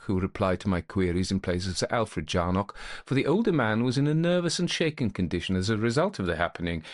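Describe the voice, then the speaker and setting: An actor as a low-voiced, animated gentleman ghost investigator. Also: close to an everyday voice. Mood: animated recounting. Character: gentlemanly, methodical male investigator of hauntings recounting his case. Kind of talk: dramatic first-person storytelling, old-fashioned ghost story.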